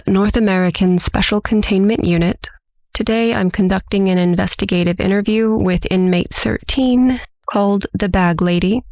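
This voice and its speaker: An actress playing a composed, dryly wry forensic interviewer in an audio drama, speaking in a formal, measured, deadpan way. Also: female voice, mid-range voice, no room sound, close to an everyday voice. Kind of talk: audio drama scripted narration.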